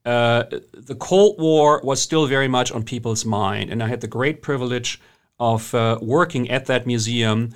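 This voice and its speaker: A man's voice: medium pitch, measured pace. A man with a German accent, a guest historian in an interview, speaking conversationally with many hesitations and restarts.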